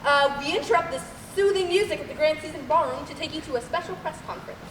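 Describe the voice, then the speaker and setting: A woman's voice: high voice, quick pace, a bit bright. A young woman playing a flustered, pompous radio announcer in a comic old-time radio play. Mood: formal, flustered. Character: flustered, apologetic radio announcer and bossy hotel manager. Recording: echoey hall, far mic, clear noise.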